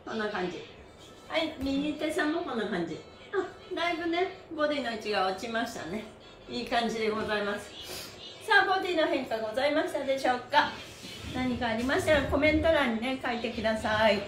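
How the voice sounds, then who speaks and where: A woman hosting a livestreamed exercise class, chatting to viewers in a cheerful, energetic, polite presenter manner. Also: high-pitched, fast talker, faint music behind. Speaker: host of a livestreamed stretching class, pantomime performer. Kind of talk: upbeat exercise instruction and casual livestream chat to viewers. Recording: roomy echo, slight background noise.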